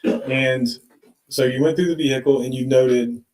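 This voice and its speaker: A man's voice: mid-range voice, fairly even intonation, fairly quick, darkish tone. A man working as a defense attorney, asking probing, leading questions in a courtroom. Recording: echoey courtroom playback on live stream.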